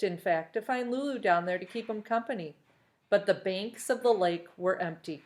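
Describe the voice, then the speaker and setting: Woman, mid-pitched voice, expressive storybook narration, read-aloud for children, dramatic phrasing. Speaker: reader reading a children's novel aloud. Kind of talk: expressive storybook narration with brief asides to listeners.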